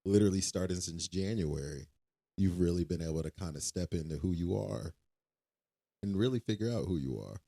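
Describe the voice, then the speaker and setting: Young guy, really low voice, earnest podcast talk, repeats himself a lot. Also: fairly quick, vocal fry, warm tone. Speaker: podcast co-host. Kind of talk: casual faith-based podcast chat.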